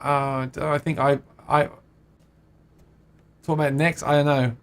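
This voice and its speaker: A man's voice: a little high, little pitch movement, a little slow, a bit bright, clean tone. A man hosting a casual livestream, reading out viewer comments and chatting with plenty of laughs and restarts. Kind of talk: casual chat about guitars.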